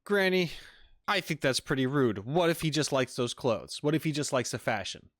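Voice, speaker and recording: A man's voice: medium pitch, animated, moderate pace, fairly bright tone, no echo, clean studio sound. A man commentating casually on a game as a streamer, full of joking, mock-outraged asides. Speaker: gaming streamer.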